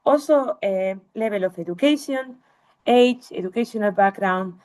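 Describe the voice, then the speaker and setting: A woman's voice: medium pitch, normal speed, darkish tone. A woman researcher giving an academic webinar lecture, explaining slides to listeners.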